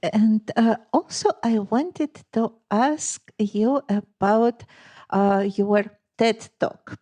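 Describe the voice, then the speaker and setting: A woman with a Lithuanian accent hosting an interview, asking questions in a measured way with hesitant fillers. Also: a little high, warm tone. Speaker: podcast host. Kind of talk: interviewing a guest.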